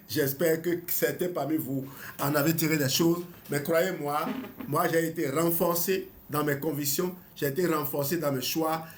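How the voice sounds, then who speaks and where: Host, man, 50s: somewhat high voice, reads from a book then comments loosely with restarts. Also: slight background noise. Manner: hesitant, with restarts and small laughs. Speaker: health channel host. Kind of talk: reading a book aloud with commentary.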